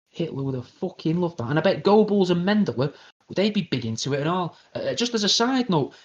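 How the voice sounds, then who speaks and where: A British man hosting an internet radio show, delivering a crude, rambling comedic conspiracy monologue in a deadpan way. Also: fairly high pitch, dull audio. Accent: British English.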